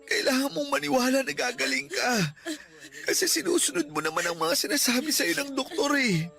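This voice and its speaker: An actor playing a devoted young boyfriend in a radio drama, reassuring softly and pleading through tears. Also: male voice, very high voice, animated, fairly quick, creaky, exaggerated voice. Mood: comforting. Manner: soft, reassuring, tearful pleading. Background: music.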